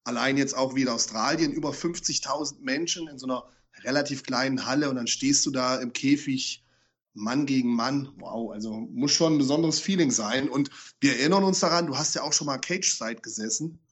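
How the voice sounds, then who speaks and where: A congested man co-hosting a casual combat-sports podcast, his voice still stuffy from a cold. He chats with joking enthusiasm. His pitch sits in an ordinary middle range for a man. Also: brisk, breathy edge. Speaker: podcast co-host.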